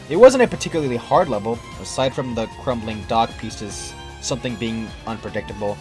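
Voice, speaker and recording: Man narrating a creepypasta over loud music. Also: mid-range voice. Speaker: narrator reading a horror story. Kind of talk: scripted first-person creepypasta narration. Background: background music.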